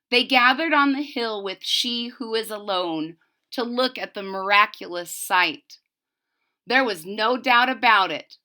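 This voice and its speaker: A woman reading a children's story aloud expressively and deliberately, pausing to explain it simply to young listeners. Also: mid-range voice, bright, forceful tone. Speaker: storybook reader.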